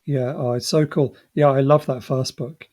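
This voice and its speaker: A man's voice: medium pitch, steady tone, normal speed, darkish tone. A man hosting a podcast in a casual, chatty style, effusive and a little self-deprecating. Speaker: podcast host. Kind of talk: casual chat.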